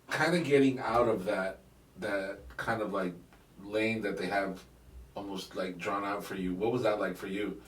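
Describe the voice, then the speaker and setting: A man from Miami hosting a casual interview show, asking loose, rambling questions and nodding along with quick replies. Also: on the low side, far from the mic. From Miami, United States. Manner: relaxed, rambling questions full of fillers and restarts, quick agreeable backchannels. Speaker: podcast host. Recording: echoey room, voice from off-mic.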